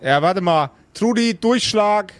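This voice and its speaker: A man's voice: sits high, animated, slow, faint music behind. A man voicing a grumpy, bossy undead necromancer in an improvised audio play, rambling and blustering in colloquial speech. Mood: gruff, businesslike. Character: grumpy, foul-mouthed undead necromancer lord, male, casually bossy.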